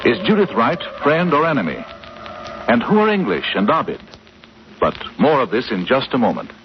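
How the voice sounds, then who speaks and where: A man's voice: medium pitch, sing-song, normal speed. A man announcing a 1940s radio serial with dramatic, theatrical, suspenseful cliffhanger narration. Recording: muffled, noisy vintage radio recording.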